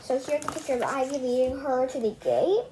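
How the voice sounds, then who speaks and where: A young girl reads a children's story out loud in a high voice. Her pitch swings around in an animated way. She often stumbles, repeats a phrase, and slips into casual asides. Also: brisk, a little hiss or hum, rough recording. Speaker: read-aloud host. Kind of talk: reading a children's book aloud with casual asides.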